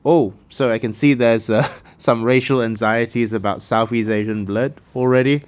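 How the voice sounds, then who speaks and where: Male commentator from Malaysia, mid-pitched, emphatic and wry, recorded in a roomy space. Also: steady tone, normal speed, recorded from a distance. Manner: emphatic, impassioned, sardonic asides. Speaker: cultural commentator. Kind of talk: opinionated video commentary. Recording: echoey room.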